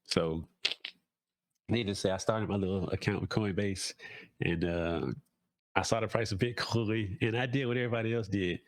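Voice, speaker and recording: A man's voice: on the low side, fairly even intonation, normal speed, dry recording. A man talking casually as a podcast host, nasal and congested from a cold, rambling with fillers and restarts. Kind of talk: casual solo talk.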